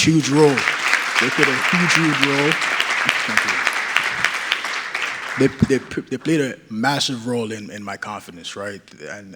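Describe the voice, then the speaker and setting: A man's voice: medium pitch, fairly bright tone, slight background noise. A young man giving an earnest conference talk as a patient advocate, with many fillers and restarts. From Nigeria.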